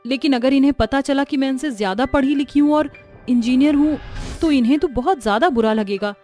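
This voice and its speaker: A woman's voice: high-pitched, brisk, darkish tone, soft background music, natural voice. A woman voicing an earnest young village wife who thinks aloud in a storybook cartoon. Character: young village wife, engineer, later a witch.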